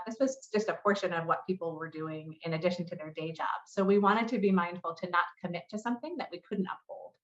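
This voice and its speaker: A woman giving a business presentation and answering questions as a marketing lead. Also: fairly low pitch. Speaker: conference presenter, head of customer marketing. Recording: roomy echo, slightly muffled sound.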